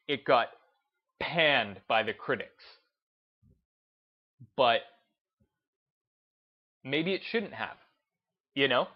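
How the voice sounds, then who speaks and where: A man hosting a gaming video essay, speaking in an emphatic, deliberate, unscripted way and repeating phrases to drive his points home. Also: mid-range voice, dull audio. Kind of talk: opinionated commentary, unscripted talk to listeners.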